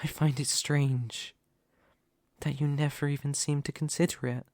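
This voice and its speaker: A man playing a dominant, vain and possessive demon lord in an ASMR roleplay, speaking formally and theatrically with deliberate dramatic pauses. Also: a little high, flat delivery, darkish tone, no echo, lightly acted. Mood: brooding, probing. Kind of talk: ASMR roleplay character monologue.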